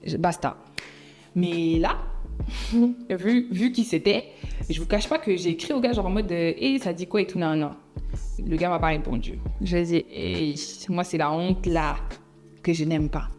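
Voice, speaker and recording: Young woman vlogger, lower voice, animated storytime delivery, soft music bed. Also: moderate pace. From Belgium. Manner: chatty, animated, laughs often, mixes in English phrases. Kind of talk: casual storytime monologue.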